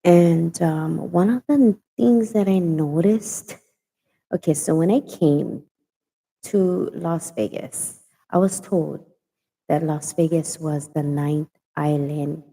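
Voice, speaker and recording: A woman in her late forties hosts a casual live chat. She speaks very slowly, in a gravelly, somewhat low voice. She often pauses with fillers and restarts. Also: dark tone, in a large hall, low-quality recording. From Hawaii. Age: late 40s. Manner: slow, halting, many fillers and restarts. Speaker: host of a live stream.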